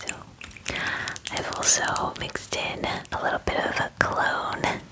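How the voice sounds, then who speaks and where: A woman in her twenties whispering gently and soothingly in an ASMR personal attention roleplay, close to the microphone. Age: 20s. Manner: gentle, soothing, reassuring, unhurried. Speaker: ASMR roleplayer playing a spa groomer. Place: small bedroom, indoors. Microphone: close microphone, near the camera.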